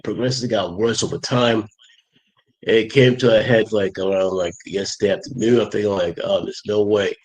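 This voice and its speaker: Sick guy on a livestream, lowish voice, congested, lots of ums. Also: fairly even intonation, a little mellow, rough recording. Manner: rambling, halting, many fillers. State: congested with a head cold. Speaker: livestream host. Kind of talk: casual livestream chat.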